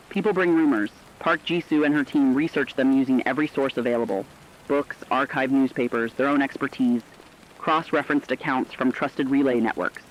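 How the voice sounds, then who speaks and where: A man reading a scripted human-interest news report in a plain, measured storytelling manner. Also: medium pitch, dull audio. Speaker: radio feature reporter.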